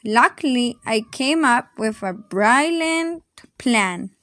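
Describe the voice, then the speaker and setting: A woman reading a children's storybook aloud in an expressive, deliberate, dramatic way. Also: a little high, dry recording, studio quality.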